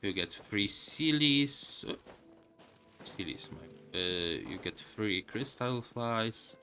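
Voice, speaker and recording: Male streamer, low register, halting casual play commentary at a slow pace, music playing behind. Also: lively intonation, sharp tone. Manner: halting, musing aloud to viewers. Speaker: game streamer. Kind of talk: casual live game commentary.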